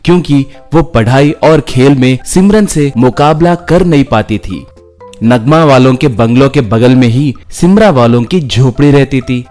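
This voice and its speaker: A male narrator reads a scripted moral tale. He goes at a slow pace in a mid-pitched voice, over faint background music. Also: darkish tone. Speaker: story narrator.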